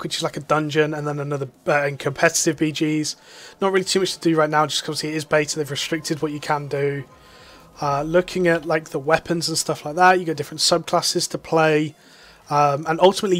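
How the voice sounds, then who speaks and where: Young male gaming YouTuber, a touch high-pitched, loose and chatty. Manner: rambling, filler-heavy, enthusiastic. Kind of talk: casual gameplay commentary.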